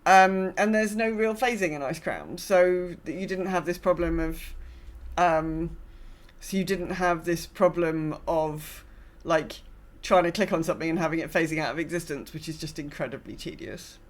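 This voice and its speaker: A woman's voice: lowish voice, moderate pace. A woman co-hosting a podcast, chatting casually about gaming in an informal, loosely phrased way. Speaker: podcast co-host.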